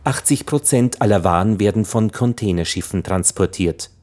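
A man's voice: fairly low pitch, moderate pace, a little mellow. A man delivering sober, factual scripted narration in a documentary style for a radio play.

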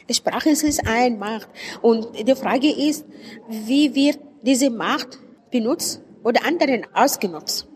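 A woman with a fairly high voice and an Arabic accent speaks as a native Arabic speaker from Sudan. She answers interview questions reflectively, searching for words and stressing them. The sound carries long hall reverb and some background noise. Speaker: interviewed writer and poet.

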